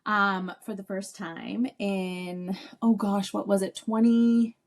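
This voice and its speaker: A woman in her mid-thirties hosting a podcast, chatting in a lively way and laughing easily. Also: medium pitch, slow pace. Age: 30s. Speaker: podcast host. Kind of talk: casual chat.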